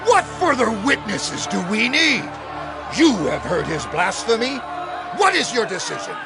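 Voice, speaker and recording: An actor playing an outraged, imperious high priest in a scripture audio drama, declaiming accusingly. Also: male voice, big pitch swings, measured pace, heavily acted. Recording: dramatic music and crowd behind.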